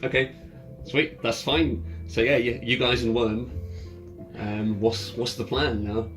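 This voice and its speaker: A man's voice: mid-range voice, steady tone, slow, fairly bright tone, rough recording. A man talking casually and encouragingly as the game master of a tabletop roleplaying session, often joking. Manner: jokey, encouraging. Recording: background music under the talk. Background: ambient music.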